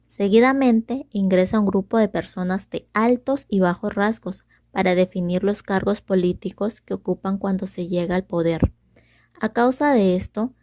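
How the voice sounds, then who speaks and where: A woman's voice: lowish voice, a little mellow, dry recording. A young woman, a student presenter, reading a scripted story summary as narrator. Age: young adult.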